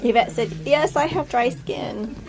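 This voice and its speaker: High-voiced chatty woman, crowd behind. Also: measured pace. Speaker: off-camera show crew member. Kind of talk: casual chat. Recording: busy chatter in the background.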